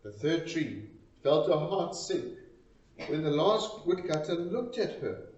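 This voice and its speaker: Male storyteller, slow and very animated delivery, dramatic pauses, recorded in a roomy space. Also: on the high side. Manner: expressive, deliberate, pausing between short phrases. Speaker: reader of a poem. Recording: roomy, distant, dull lo-fi sound.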